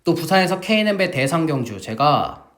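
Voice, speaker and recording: A man's voice: fairly high pitch, brisk. A middle-aged man hosting a horse racing tips broadcast, talking with emphatic, salesman-like energy to his viewers and just getting over a sore throat. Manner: lively, emphatic salesman-like delivery, addresses viewers as family. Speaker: horse racing tipster host.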